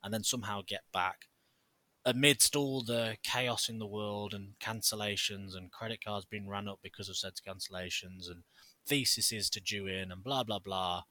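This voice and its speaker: A man's voice: on the low side, fairly even intonation, normal speed, pushed and bright. A man co-hosting a podcast, theatrical, jokey and sarcastic in casual chat. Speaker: podcast co-host.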